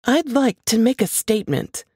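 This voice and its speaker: A woman's voice: fairly high pitch, expressive, normal speed, warm tone, slightly rough, no echo, clean studio sound, voice pushed up. A woman voicing an insistent, startled wife in an audiobook suspense story, full of questions and exclamations. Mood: firm. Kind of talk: audiobook character dialogue.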